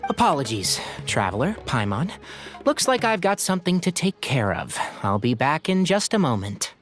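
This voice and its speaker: A man voicing a calm, knowing young scholar. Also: medium pitch, moderate pace, soft background music, natural voice. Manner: calm, lecturing tone. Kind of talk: video game dialogue.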